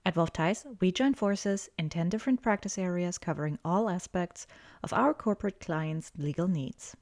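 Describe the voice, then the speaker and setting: A woman reading a scripted podcast introduction in a clear, steady way. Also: fairly low pitch, moderate pace. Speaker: podcast narrator. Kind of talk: scripted narration. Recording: faint music behind.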